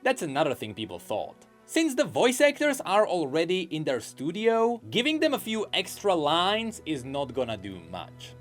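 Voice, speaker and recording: A man narrating a scripted commentary video about game character lore, eager and explanatory. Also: a little high.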